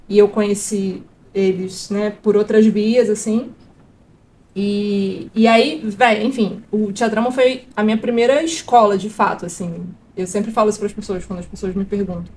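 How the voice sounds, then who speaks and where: A woman talks loosely as an interview guest, full of fillers and restarts. Her pitch sits in the middle for a woman. A faint hiss of noise runs under the voice. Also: normal speed. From Brazil. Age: 30s. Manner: relaxed, rambling, many fillers and restarts. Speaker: guest actress and producer. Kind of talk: casual interview chat.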